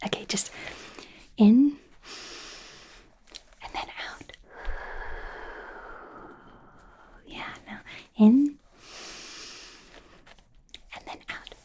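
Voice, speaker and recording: Woman to play awkward, apologetic female werewolf doctor for ASMR roleplay; soft mellow whisper, unhurried, hesitant and self-doubting. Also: mid-range voice. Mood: anxious, soothing. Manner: hesitant, rambling, self-deprecating.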